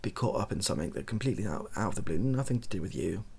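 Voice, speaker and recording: Low, husky, softly spoken man. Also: expressive, very fast. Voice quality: soft, husky, breathy. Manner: hesitant, rambling, self-deprecating. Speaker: online video creator giving opinions. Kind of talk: softly spoken improvised monologue, casual opinion chat.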